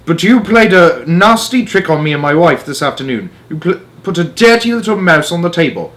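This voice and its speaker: A blustering English father from a children's storybook, acted out by a male reader. He splutters and shouts in indignation. His voice sits well above a plain talking pitch and swoops widely. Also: lively intonation, fairly quick. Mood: angry, blustering.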